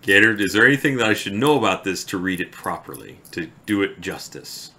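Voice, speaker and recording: Male streamer, low and bright-edged voice, halting casual chat at a desk microphone. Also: expressive. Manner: halting, trails off into quiet mumbles. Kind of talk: slow, deliberate poetry reading.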